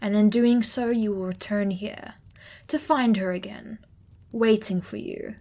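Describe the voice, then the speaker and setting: A woman's voice: medium pitch, fairly even intonation, a little slow, dark tone. A woman playing a wrathful, regal goddess who declaims imperiously in an audio drama. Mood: commanding. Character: wrathful regal female deity, Queen of Vengeance.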